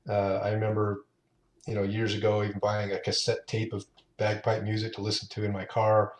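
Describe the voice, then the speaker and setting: A man in his late fifties, the guest on a podcast, speaks in a lowish voice. He talks in an unhurried, halting way, often restarting his sentences, and the room around him rings with a noticeable echo. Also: completely flat delivery. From Utah. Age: 50s. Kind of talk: casual interview chat. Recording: roomy echo.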